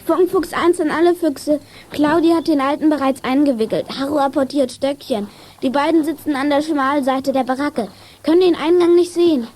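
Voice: sits high, normal speed, a little mellow. A performer playing an eager child lookout who reports dutifully over a walkie-talkie in a radio drama. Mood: dutiful, alert. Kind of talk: children's radio play acting.

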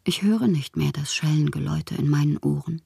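An actress playing a proud, lovelorn woman poet in a literary radio drama monologue, theatrical and intimate with a husky, breathy voice. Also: deep voice, brisk, dark tone, no room sound, studio quality, full character voice. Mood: desolate, proud. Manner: theatrical, poetic, intimate, at times whispering. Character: wounded, proud, lovelorn woman poet styling herself a prince.

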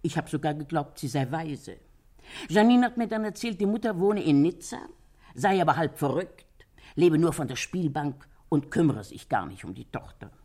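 A woman playing a talkative older Parisian landlady in a German radio crime drama, gossipy but sympathetic, chatting and retelling with a husky voice. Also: low voice, lively intonation, moderate pace, a little mellow. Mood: gossipy, reflective. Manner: chatty storytelling, quotes others animatedly.

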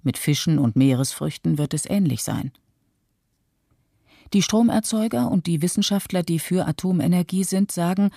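Measured critical commentator read by a performer, radio play monologue, mellow n dry sound, kinda argumentative. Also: mid-range voice, no echo. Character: critical, reflective Japanese commentator on nuclear policy.

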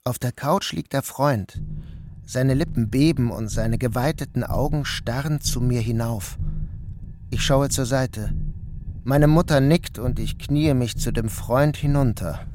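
A man giving calm, measured first-person narration of a literary story. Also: mid-range voice, noisy background. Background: music.

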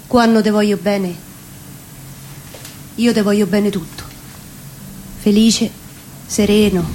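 A woman with a Roman accent reciting a theatrical spoken-word monologue, deliberately, phrase by phrase. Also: medium pitch, darkish tone, rough recording. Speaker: reciting performer. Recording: faint ambient background noise.

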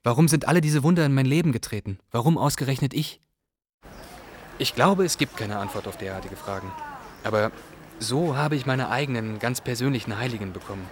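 An actor playing an earnest, wondering young refugee in a radio drama monologue, speaking in a questioning way. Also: male voice, mid-range voice, lively intonation, a little fast, lightly acted. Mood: earnest, puzzled. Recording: clear outdoor ambient noise.

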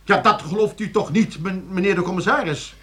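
A man's voice: high voice, fairly quick, bright, forceful tone. A man playing an idle young student under suspicion in a Dutch radio play, hesitant, defensive and self-justifying. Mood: indignant, defensive.

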